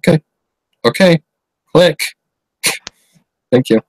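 A man's voice: a little high, lively intonation, slow pace, a little mellow, no room sound. A man hosting a livestream and chatting casually while playing a game, giggling and reacting to the dialogue. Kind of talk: casual gameplay commentary.

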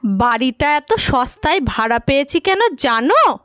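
Woman playing stubborn young wife, high voice, eager, chiding, dry close sound, audio drama. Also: lively intonation, a little slow, fairly bright tone, no room sound. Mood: eager, excited. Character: excited, stubborn young Bengali wife and mother. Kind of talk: audio story acting.

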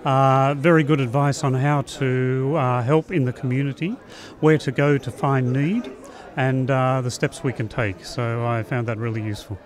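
A man's voice: mid-range voice, fairly even intonation, moderate pace. A middle-aged male attendee giving a plain, matter-of-fact interview response in a large hall. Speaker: event attendee.

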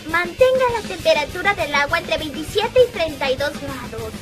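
A woman's voice: sits high, very animated, moderate pace. A woman with a Latin American Spanish accent voicing a proud, lovestruck, dramatic teenage schoolgirl in an anime dub. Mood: flustered. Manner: dramatic, exclamatory. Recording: background music over fan dub.

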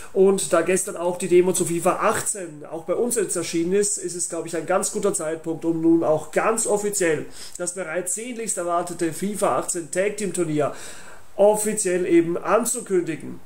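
Young male channel host, high voice, informal promotional announcement. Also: a little mellow. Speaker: YouTube channel host and tournament organiser.

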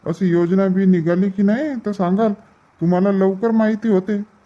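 Actor as a drawling Marathi villager, muffled sound. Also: male voice, sits high, fairly even intonation, moderate pace, slight background noise, natural voice. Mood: complaining, joking. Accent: rural Vidarbha Marathi. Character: male villagers in a comic paddy field, bantering and grumbling. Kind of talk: comedic cartoon dialogue. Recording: dull, muffled lo-fi audio.

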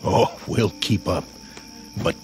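An actor playing a war-weary, sardonic army sergeant in a radio drama, plainspoken, dry and folksy. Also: male voice, slow pace, darkish tone, slight background noise, lightly acted, low-quality recording. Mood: guarded, reluctant.

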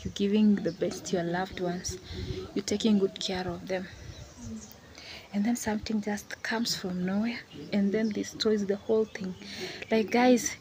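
A woman's voice: mid-range voice, steady tone, a little slow, pauses often, crowd noise, low-quality recording. A young Kenyan woman vlogging to a phone at arm's length outdoors in green countryside, talking earnestly and repeating herself as she pleads. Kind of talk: selfie vlog to camera. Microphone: phone held at arm's length. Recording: outdoor phone recording with clear background noise.

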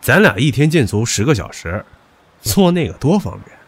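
A man's voice: mid-range voice, big pitch swings, normal speed, creaky, breathy edge, no echo, exaggerated voice. A man playing a stubborn, possessive young suitor in a modern audio drama, talking in a cocky, crude, pushy way. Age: young adult.

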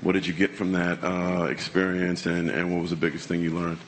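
Brief: male reporter, very low monotone voice, measured, faint hum. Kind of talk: asking a press question. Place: press room, in the audience.

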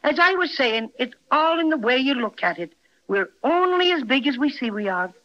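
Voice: high-pitched, expressive, moderate pace. An actor playing a tiny, cheerful, fussy talking toy bear in a chatty, self-important 1930s radio style. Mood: explaining. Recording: muffled, narrow-band 1930s radio recording.

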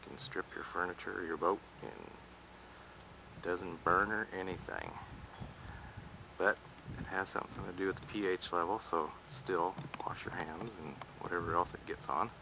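A man's voice: low-pitched, expressive, moderate pace, gravelly, background noise. A man restoring an old wooden boat in his backyard, narrating over his own camera footage in a plain-spoken, practical way. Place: outdoors in a backyard.

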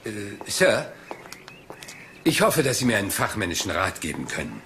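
A rumpled, scatterbrained inspector in a crime drama. His low voice swings about expressively as he rambles and hesitates, always deferential and seemingly naive. There is faint noise behind him. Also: very animated, moderate pace, sharp tone, exaggerated voice. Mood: hesitant, musing. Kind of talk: German crime drama dialogue.

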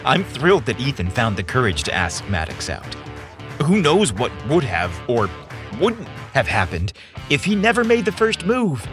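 A man narrating a scripted romance story as a podcast host, in an expressive storytelling style. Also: mid-range voice.

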